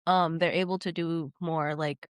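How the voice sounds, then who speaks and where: A woman's voice: deep voice, fairly even intonation, a little slow, clean tone, dry recording, clean studio sound. A woman getting over a cold, sounding nasal, chatting as a podcast guest and rambling with lots of fillers. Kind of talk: casual conversation.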